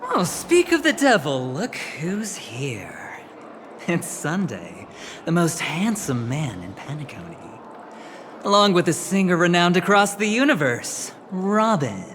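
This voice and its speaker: A man voicing a smooth, scheming young businessman and gambler in a video game, talking in a sly, playful, teasing way. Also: high-pitched, huge pitch swings, slow pace, fairly bright tone, slight background noise, exaggerated voice. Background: game music.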